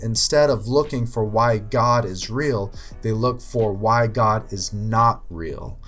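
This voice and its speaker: A man's voice: lowish voice, slow, a bit bright, faint music behind. Earnest young man explaining faith. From Ukraine. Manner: earnest, explanatory, with many fillers. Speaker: youth minister. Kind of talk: scripted apologetics talk.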